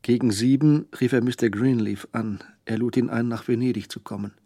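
Husky actor as a brooding male crime narrator. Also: medium pitch, steady tone, brisk, dark tone, no echo, clean studio sound, lightly acted. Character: narrator voicing a tense criminal's inner thoughts. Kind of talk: literary radio play narration.

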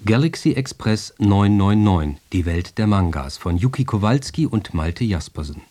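Casting: male announcer for radio programme credits, lowish voice with lively melodic delivery. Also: normal speed. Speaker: closing announcer.

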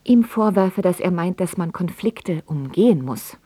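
A woman's voice: fairly low pitch, lively intonation, a little slow, dark tone, breathy edge, no room sound. An actress playing a bitter, ironic grown daughter who tells of her father in a dramatic radio play monologue. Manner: sardonic, dramatic monologue with sudden exclamations. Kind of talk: radio play narration and dialogue.

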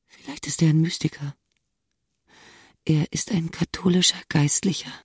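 A woman's voice: sits low, steady tone, normal speed, slightly rough, dry recording, clean studio sound. An actress playing a cool, brooding, perceptive woman who muses inwardly in a radio play, intimate and grave. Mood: uneasy fascination. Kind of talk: radio play interior monologue.

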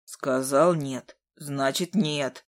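A woman's voice: a bass voice, extremely slow, voice pushed down, heavily acted. A woman voicing a grumbling old male carousel keeper in an audiobook. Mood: grumpy. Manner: gruff, grumbling, later fawning.

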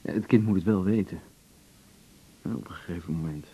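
An actor playing a calm, practical, supportive husband in a radio drama, measured and considerate. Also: male voice, low voice, husky. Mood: practical, caring. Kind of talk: naturalistic radio drama conversation. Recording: muffled, noisy radio recording.

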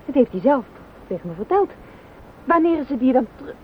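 A woman's voice: high-pitched, sing-song, a little fast. An actress playing a distressed wife who urgently and emphatically pleads for her accused husband in a radio play. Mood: urgent, insistent. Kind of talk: Dutch radio play acting. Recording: tinny, narrow-band noisy old radio recording.

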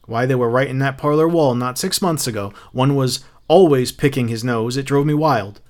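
A man's voice: mid-range voice, moderate pace, a little mellow, close to an everyday voice. A man voicing an irate, blunt, rebellious fireman in a storybook reading, blurting and swearing. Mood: irritated. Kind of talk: audiobook character acting.

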